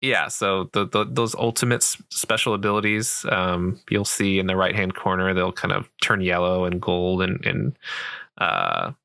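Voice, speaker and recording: A sweet, patient boyfriend played by a man walks a beginner through a game in a casual roleplay. His voice is low and crisply bright, recorded close and dry. He rambles gently and keeps things reassuring. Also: expressive, normal speed, no room sound, exaggerated voice. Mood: casual, explaining. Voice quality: soft, creaky voice. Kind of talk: roleplay ASMR, casual improvised game tutorial.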